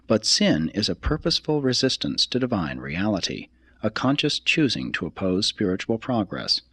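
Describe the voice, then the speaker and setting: A man with a fairly low, husky voice narrates a solemn religious text. His delivery is formal and deliberate, with lively rises and falls in pitch. The sound is dry and close, with no room around it. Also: no echo. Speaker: audiobook reader.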